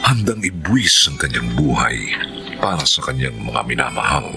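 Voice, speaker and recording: Deep, theatrical male radio drama announcer. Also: sing-song, moderate pace, vocal fry, over music. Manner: solemn, theatrical.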